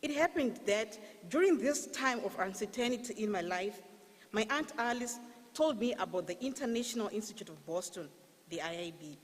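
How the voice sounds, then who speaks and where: A woman from Zimbabwe with a Zimbabwean accent, giving a deliberate, formal testimonial speech. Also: medium pitch, lively intonation. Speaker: training graduate giving a testimonial. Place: large indoor hall, public event. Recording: echoey hall with long reverb.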